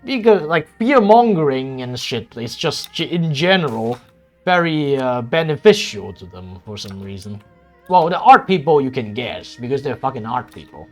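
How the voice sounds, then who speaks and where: A man's voice: medium pitch, sing-song, moderate pace, faint music behind. A man whose first language is Cantonese, chatting casually while streaming a strategy game, rambling with many restarts and frequent swearing.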